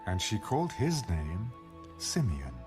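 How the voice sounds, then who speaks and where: A man's voice: on the low side, wildly swooping pitch, unhurried. A man narrating scripture from a script as the reader of a dramatized audio Bible. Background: background music.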